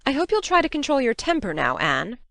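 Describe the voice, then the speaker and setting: A woman playing a stern, plain-spoken older guardian, brisk and severe, in an audiobook drama. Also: sits high, huge pitch swings, gravelly, fairly bright tone, dry recording, exaggerated voice. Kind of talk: full-cast audiobook acting.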